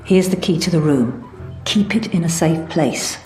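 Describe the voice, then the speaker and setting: A woman playing a composed, analytical intelligence officer in a sci-fi game, giving measured, matter-of-fact briefings. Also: lowish voice, a little mellow, echoey room, faint music behind, close to an everyday voice. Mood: measured, focused. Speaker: mission-giving game character. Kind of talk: scripted game dialogue.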